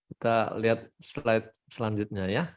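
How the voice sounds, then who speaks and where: A man's voice: lowish voice, flat delivery, unhurried, muffled recording. A man with an Indonesian accent hosting a conversational interview, asking follow-up questions and restating answers. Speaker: host of a testimonial livestream, addressed as doctor.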